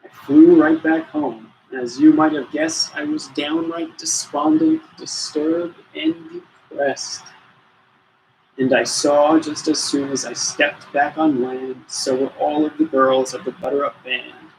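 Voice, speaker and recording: A man's voice: a little high, sing-song, slow pace, creaky, darkish tone, slight background noise, poor audio. A man reading a rhyming storybook aloud with theatrical, rhythmic expression. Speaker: storybook reader.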